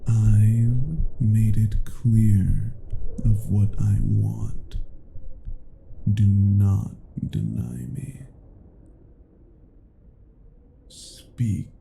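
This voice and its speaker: A man playing a cruel, haughty vampire in a dramatic roleplay, speaking in a deliberate, menacing and condescending way. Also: fairly low pitch, creaky, mellow, full character voice. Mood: menacing, commanding. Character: cruel, haughty male vampire toying with his captive. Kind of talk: dramatic roleplay audio acting.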